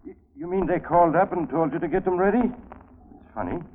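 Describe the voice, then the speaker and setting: An actor playing a nervous, blustering cemetery worker in a 1940s radio mystery, agitated and stammering. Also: male voice, high voice, fairly quick, close to an everyday voice. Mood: spooked. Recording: old 1940s radio broadcast, tinny, faint noise.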